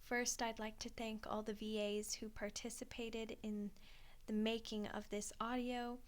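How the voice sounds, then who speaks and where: A soft-spoken woman, an ASMR creator and voice actor, giving informal, appreciative thank-you credits. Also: on the high side, flat delivery, measured pace.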